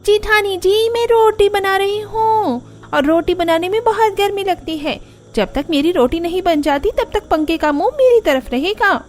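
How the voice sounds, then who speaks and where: A woman's voice: very high voice, normal speed, a little hiss or hum. A woman voicing a young, whiny and indignant daughter-in-law in a cartoon story, complaining dramatically. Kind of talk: Hindi cartoon story dialogue. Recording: music under the voice.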